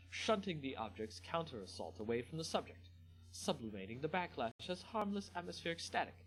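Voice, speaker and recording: A man's voice: on the high side, voice pushed up, low-quality recording. A man voicing a fussy, formal mage scientist in a storybook reading, technical and stiffly polite. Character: fussy, formal male ghost-mage scientist, easily flustered.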